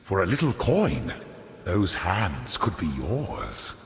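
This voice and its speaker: An actor playing a sly, pompous older fallen aristocrat turned greedy merchant in a video game, speaking in florid, theatrical, unctuous patter. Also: male voice, low-pitched, wildly swooping pitch, slow pace, exaggerated voice. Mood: sly, ingratiating. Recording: echoey hall reverb on voice.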